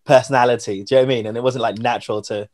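Male podcast host, London accent, rapid casual delivery, informal and self-deprecating. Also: medium pitch, fairly even intonation, a little mellow. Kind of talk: casual chat.

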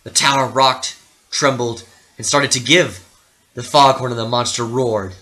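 A man narrating a literary story dramatically, with theatrical, deliberate phrasing. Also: medium pitch, fairly even intonation, bright, forceful tone, poor audio.

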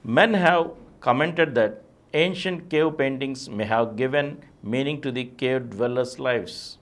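A middle-aged man with an Indian accent reads a scripted essay aloud as a presenter, measured and declamatory, stressing the openings of phrases. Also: medium pitch. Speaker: presenter reading a text aloud. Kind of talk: scripted reading of a philosophy essay. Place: small video studio, indoors. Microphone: lapel mic.

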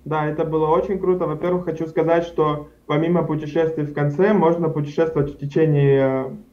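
A man's voice: a little high, level tone, brisk. Young man on a dull video call. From Karachay-Cherkessia, Russia. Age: young adult. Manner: rambling, frequent fillers and self-corrections. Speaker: studio guest. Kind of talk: casual interview answers. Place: indoors at home, plain wall. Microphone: video call webcam.

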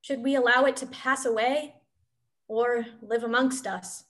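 A woman playing a blunt, wary, disdainful wolf, speaking in measured statements in a play reading. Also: on the high side, fairly even intonation, fairly bright tone.

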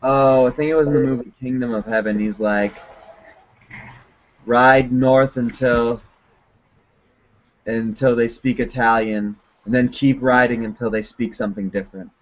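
A man's voice: medium pitch, fairly even intonation, moderate pace, long pauses. A young man hosting a casual video call chat from a dim room at home, laid-back and laughing often. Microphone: webcam on a video call. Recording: dull lo-fi video call audio.

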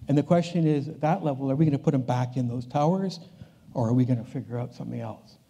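A man's voice: mid-range voice, quick pace, gravelly, warm tone, breathy edge. An older man giving a conference keynote on a large stage, talking in a loose conversational way that often restarts its phrases. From Canada. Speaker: keynote speaker.

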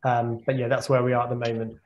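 A man's voice: medium pitch, little pitch movement, fast talker, darkish tone, muffled recording, a little hiss or hum. A man in his forties, a neurologist, answering audience questions on a video call, thoughtful and often repeating words as he thinks. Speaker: guest speaker, neurologist. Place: home room on a video call. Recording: echoey video-call audio.

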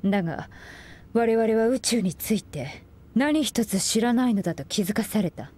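An actress playing a stern, dignified woman scholar in a game, with archaic, stately speech and a husky voice. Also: fairly high pitch, expressive, moderate pace, warm tone, close to an everyday voice. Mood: grave. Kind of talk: Japanese game dub acting. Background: game music.

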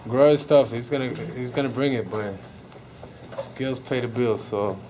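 Laid-back young boxer from Sweden. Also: male voice, mid-range voice, moderate pace, low-quality recording. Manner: relaxed, short laid-back answers. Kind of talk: casual interview answers. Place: hotel event hall indoors. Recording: noticeable background noise.

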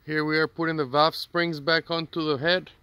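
A man's voice: fairly high pitch, moderate pace, smooth, no echo. A man, a mechanic, casually narrating repair work step by step while filming on a phone outdoors in a work yard. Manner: relaxed, explains step by step. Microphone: phone camera. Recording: outdoor noise behind voice.

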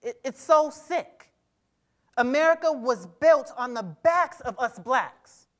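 A man's voice: very high voice, lively intonation, slow pace, full character voice. A young man playing a proud, bitter teenage boy from 1950s America in a staged reading, emphatic with an occasional stammer. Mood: indignant. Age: young adult. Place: theatre stage before a seated audience. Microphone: clip-on lapel mic.

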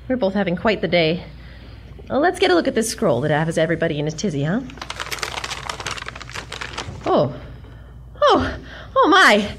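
A woman playing a smart, flustered young museum scholar in a comic 1940s-style audio drama, articulate, excitable and haughty. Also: on the high side, a little hiss or hum.

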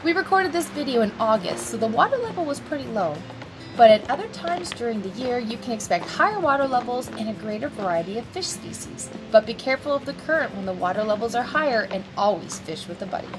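A woman's voice: a little high, sing-song, fast, music in the background. A woman giving scripted, informational narration in an instructive, articulate way.

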